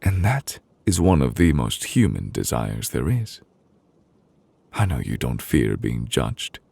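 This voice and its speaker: Casting: actor as tender, solemn male fantasy judge for ASMR roleplay; extremely low, husky, close and reassuring. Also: sing-song, dry recording, exaggerated voice. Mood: soothing, earnest. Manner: slow, deliberate, gently reassuring with pauses. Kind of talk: intimate ASMR audio roleplay. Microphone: close, dry studio microphone.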